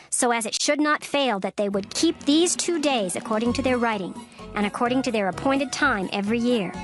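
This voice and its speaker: Woman narrator, slightly high voice, steady formal reading, careful pacing, music underneath. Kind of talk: scripted scripture narration.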